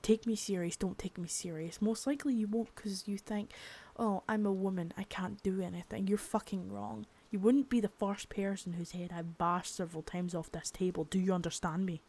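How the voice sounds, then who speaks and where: Young woman playing a contemptuous female drug-ring boss, quiet menace, cursing, part-whispered; medium pitch. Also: steady tone, normal speed, hardly any pauses, natural voice. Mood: cold contempt. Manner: menacing, swearing, soft with bits of whisper. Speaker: ASMR creator. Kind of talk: unscripted ASMR roleplay.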